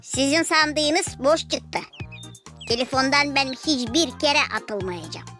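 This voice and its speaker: A woman's voice: high-pitched, expressive, slow pace, fairly bright tone, faint music behind, heavily acted. A woman voicing a chatty, cheeky, childlike little animal in a children's cartoon.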